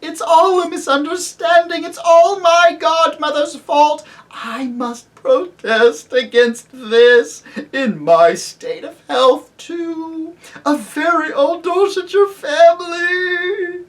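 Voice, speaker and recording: A cowardly old gentleman from a storybook, his voice pitched up into a quavering, tearful scream. He drags out every complaint, loud and shaky, as if close to sobbing. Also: takes it slowly, hardly any pauses, far from the mic. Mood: wailing. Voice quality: trembling, screaming. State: crying.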